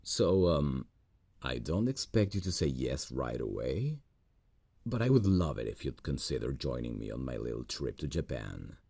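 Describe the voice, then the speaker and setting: A man voicing a gentle, earnest boss in an ASMR roleplay, talking softly and slowly into a close mic. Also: big pitch swings, no room sound, exaggerated voice. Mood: tender. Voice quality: deep gravelly voice. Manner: soft, intimate, slow and earnest.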